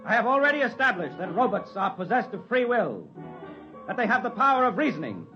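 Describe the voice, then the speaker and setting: An actor playing a forceful, argumentative courtroom lawyer in a 1950s radio drama, formal and oratorical. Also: male voice, high voice, big pitch swings, brisk. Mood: insistent. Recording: muffled vintage radio recording. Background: music.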